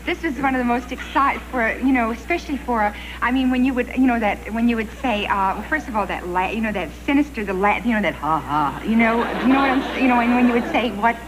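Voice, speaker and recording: Noisy old TV clip, high-voiced woman, halting, flustered, gushing, broken sentences.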